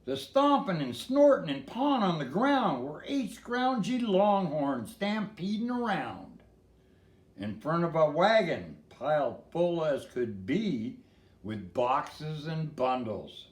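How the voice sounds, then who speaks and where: A man's voice: fairly high pitch, very animated, slow pace, roomy sound. An older man reading a storybook in verse in a folksy way, with casual asides to a listener.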